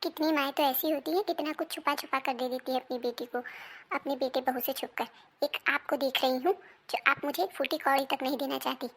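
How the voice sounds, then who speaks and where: A woman acts out a dramatic woman of a squabbling Indian household in an animated moral story. Her voice sits very high and has a gravelly creak. She speaks emphatically, as in a family melodrama. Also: fairly quick. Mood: indignant, demanding. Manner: emphatic, dramatic, scolding and pleading delivery. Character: women of a quarrelling Indian family: daughter, mother, daughter-in-law.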